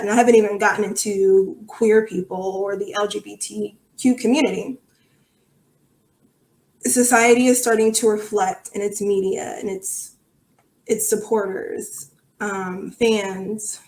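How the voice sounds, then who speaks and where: A woman's voice: medium pitch, a little mellow. Outspoken woman in an echoey room. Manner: outspoken, emphatic. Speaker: podcast guest. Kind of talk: conversational interview answers.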